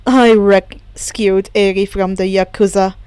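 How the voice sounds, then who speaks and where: A woman voicing a calm, formal middle-sized role of a retired supervillain father in a story reading. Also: mid-range voice, slow pace, clean tone, no echo, voice pushed down, natural voice. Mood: calm, assured. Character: retired supervillain father, adult man, calm and matter-of-fact.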